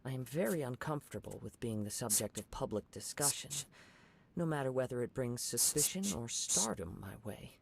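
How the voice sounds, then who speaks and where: An actor playing a calm, polite young wandering samurai in a video game, speaking in a formal, measured way. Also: male voice, mid-range voice, creaky, slight background noise. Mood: reserved.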